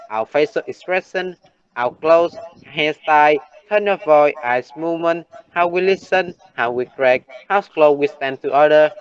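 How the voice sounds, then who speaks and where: A teenage boy with a Vietnamese accent hosts a school talk show at a middle pitch. He reads his prepared lines in a steady presenter manner. The sound is a little dull and lo-fi. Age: late teens. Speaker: host of a class talk show. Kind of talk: scripted classroom talk-show hosting.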